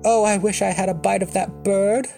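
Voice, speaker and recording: Man doing a young prince, raised pitch, wistful, pleading, weak, storybook, guitar behind. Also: fairly even intonation, moderate pace, vocal fry, dark tone. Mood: weakly longing.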